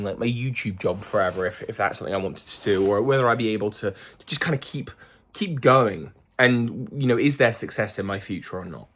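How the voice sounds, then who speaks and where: A man in his thirties talking to camera in a thoughtful, rambling way, with many fillers and restarts. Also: mid-range voice. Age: 30s. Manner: thoughtful and earnest. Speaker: vlogger. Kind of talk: reflective casual monologue.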